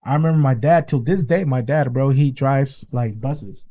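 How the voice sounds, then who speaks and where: A man's voice: mid-range voice, moderate pace, dull audio. A man from Peru, a guest artist who has children, telling his story in a casual interview inside a car. He talks in a loose, earnest way and keeps saying "bro" and "you know what I mean.". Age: adult with children.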